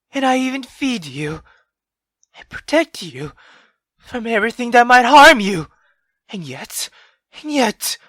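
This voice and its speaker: A woman's voice: fairly high pitch, fairly bright tone, breathy edge, no echo, voice pushed up, full character voice. A woman voicing a furious, cruel, bitter mother in a visual novel, scolding and menacing as she rants. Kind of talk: dramatic visual novel reading.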